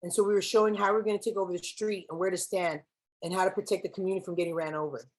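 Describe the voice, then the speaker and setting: A woman's voice: fairly low pitch, fairly even intonation, very fast, poor audio. A young woman giving a keynote speech to students, switching between English and Spanish and asking the audience questions. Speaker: keynote speaker. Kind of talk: speech to students with spoken-word poem.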